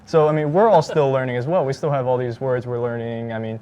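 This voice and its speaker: A teenage boy, a high school student being interviewed, who hedges a lot with "I mean" and "you know.". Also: steady tone, mellow, clean tone, lo-fi sound. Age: teens. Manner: rapid, hedging, lots of "I mean" and "you know".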